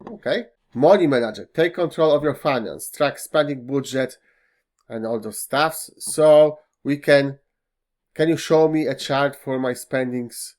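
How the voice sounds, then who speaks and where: A man whose first language is Polish walks through a web browser's features in a screen-recorded tutorial, pausing often with fillers and slightly non-native phrasing. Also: mid-range voice, darkish tone. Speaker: tech tutorial host. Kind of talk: screen-recorded software walkthrough.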